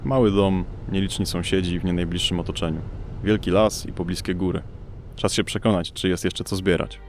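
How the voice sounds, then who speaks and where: Man playing a low-voiced, grim lone searcher. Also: steady tone, brisk. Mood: grim, weary. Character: weary male searcher exploring storm-ravaged homes, grim, solitary. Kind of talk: Polish audio drama narration and acting.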